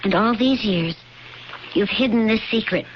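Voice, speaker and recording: A woman's voice: medium pitch, moderate pace, close to an everyday voice. Concerned woman played by an actress, old radio. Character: sympathetic, concerned woman confidante, gently probing. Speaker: radio drama actor. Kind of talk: old-time radio drama acting. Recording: dull, hissy old radio recording.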